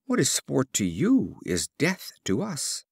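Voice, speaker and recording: A man's voice: medium pitch, wildly swooping pitch, slow, gravelly, slightly rough, no room sound, studio quality, heavily acted. A man voicing a small frog in a storybook fable, pleading for its life in a solemn, imploring way.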